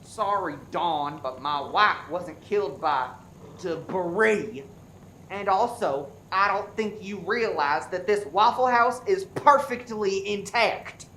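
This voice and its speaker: Woman acting short-tempered suspicious widower, very lively pitch, slow pace, echoey room, radio comedy. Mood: exasperated. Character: petulant, suspicious bereaved husband, male, short-tempered. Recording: roomy echo with faint background noise.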